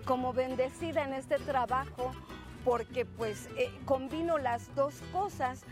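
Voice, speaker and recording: A Zapotec-speaking woman, a singer and traditional healer, answering interview questions earnestly and hunting for words as she goes. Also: high-pitched. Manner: earnest, searching for words, repeats herself. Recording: music playing under the voice.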